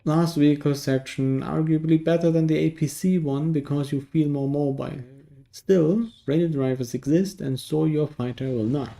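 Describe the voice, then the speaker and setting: Casting: male game reviewer, dark mellow tone, slightly high pitch, sardonic scripted narration. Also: steady tone, moderate pace, creaky. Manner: dry, sardonic.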